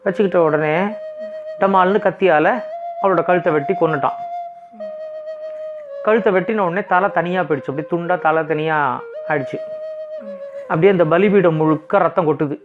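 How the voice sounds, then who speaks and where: Male bedtime storyteller: informal, simple, high register, thin phone-like recording. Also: moderate pace, pauses often. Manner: conversational, explains simply. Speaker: children's storyteller. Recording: thin phone-like sound, music behind.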